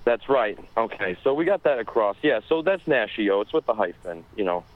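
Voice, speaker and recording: Smooth, shady male caller with a hesitant drawl on a lo-fi line, played by a man. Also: fairly low pitch, expressive, normal speed, no echo, close to an everyday voice. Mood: sly. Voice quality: drawling, with many filler sounds. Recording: dull lo-fi phone sound.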